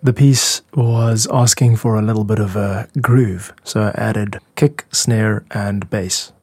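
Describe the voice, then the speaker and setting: A man's voice: fairly low pitch, steady tone, slow, darkish tone, slight background noise. A man hosting a music podcast, explaining production work in a conversational, reflective and demonstrative way. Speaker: music podcast host and composer.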